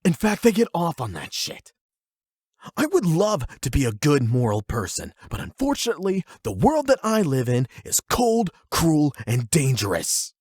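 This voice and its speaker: A man's voice: fairly high pitch, huge pitch swings, moderate pace, a little mellow, slightly rough, no room sound, studio quality, heavily acted. Man acting an angry young delinquent, wildly dynamic voice. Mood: bitter, defiant. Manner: sweary, sarcastic, confrontational. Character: angry, hurt young male delinquent, defensive and defiant. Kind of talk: emotional anime-style audio roleplay acting.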